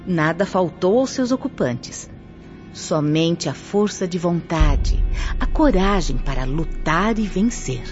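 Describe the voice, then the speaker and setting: An actress playing a stern yet compassionate spirit instructor in a Brazilian radio drama, with solemn, emphatic diction and a Brazilian accent. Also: female voice, fairly low pitch, lively intonation, slow, a little mellow. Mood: stern, reproachful. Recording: muffled audio with faint music.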